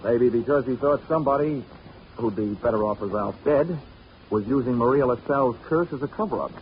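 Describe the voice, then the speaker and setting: An actor playing a dry, wisecracking insurance investigator who also narrates, in an old radio drama with a dull, hissy recording. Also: male voice, mid-range voice, fairly quick, natural voice. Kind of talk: 1940s radio acting.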